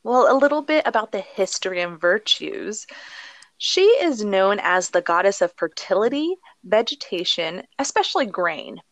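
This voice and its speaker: A giggly woman co-hosting a relaxed podcast, reading from her notes between laughs. Her voice swings lively up and down in pitch. The sound is dry and close, with no room echo. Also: normal speed. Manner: giggly, reads notes aloud. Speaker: podcast co-host. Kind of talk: casual chat.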